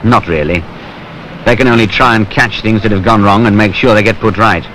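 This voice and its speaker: A low-pitched British male narrator with a plain-spoken, matter-of-fact way of telling things in everyday language. He reads a scripted training film. The soundtrack is an old 1970s one with slight noise. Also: a little fast, a bit bright, poor audio.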